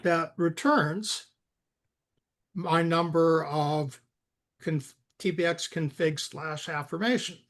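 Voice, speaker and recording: Male coding mentor, fairly high voice, unhurried and halting delivery, informal step-by-step instruction. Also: a bit bright. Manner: halting, repeats words, spells things out. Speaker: software expert guiding a coding session.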